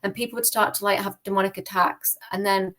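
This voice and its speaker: A woman's voice: medium pitch, level tone, brisk, fairly bright tone. A British woman giving personal testimony as a podcast guest, informal and chatty, often saying "like" and voicing her own thoughts. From United Kingdom. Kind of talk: personal testimony in casual conversation.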